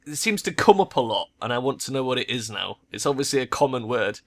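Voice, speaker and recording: A man with a bright, forward voice at an average pitch gives casual let's play commentary. His voice is a little croaky as he chats his way through the game. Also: very animated, fast. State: slightly croaky. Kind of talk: casual game commentary mixed with reading dialogue aloud.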